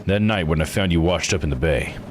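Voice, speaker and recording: A man voicing a blunt, plain-spoken man from a visual novel game, gruff and probing. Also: low voice, fairly quick, a little hiss or hum, lightly acted. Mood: stern, accusing.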